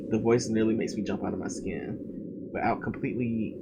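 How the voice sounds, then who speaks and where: Gravelly man reading a game aloud. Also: lowish voice, steady tone, a little fast. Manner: profane joking asides, slangy. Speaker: let's play gamer. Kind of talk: reading a visual novel aloud with commentary. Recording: loud background noise.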